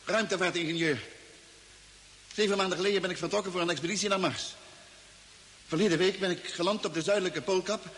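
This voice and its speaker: An actor playing a confused, agitated astronaut engineer in an old radio drama, insistent and halting in his bewilderment. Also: sits high, fairly bright tone. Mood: agitated disbelief. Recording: hissy old radio recording, long reverb.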